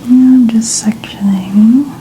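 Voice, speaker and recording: A woman softly narrating hair styling for ASMR in a breathy near-whisper, gentle and soothing. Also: on the high side, fairly even intonation, slow pace, a little hiss or hum, poor audio. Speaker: ASMR creator.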